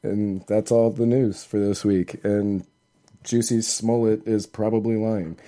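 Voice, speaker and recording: A man's voice: on the low side, flat delivery, unhurried, dark tone. An American man co-hosting a podcast, chatting casually in a laid-back, rambling, jokey way. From Northern Virginia, United States. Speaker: podcast co-host. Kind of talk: casual conversational podcast chat.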